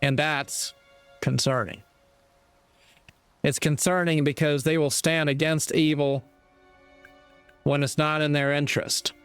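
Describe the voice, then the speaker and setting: A man giving reflective spoken commentary in an earnest, deliberate manner, pausing mid-sentence. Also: on the high side, flat delivery, fairly bright tone, clean tone, dry recording, soft background music. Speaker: speaker giving moral commentary.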